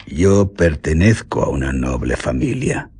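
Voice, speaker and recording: An actor playing a nobleman turned bandit, confessing his crimes in formal, measured radio drama declamation. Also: a bass voice, sing-song, a little mellow, breathy edge, no room sound, full character voice. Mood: grave confession. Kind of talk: Spanish radio drama monologue.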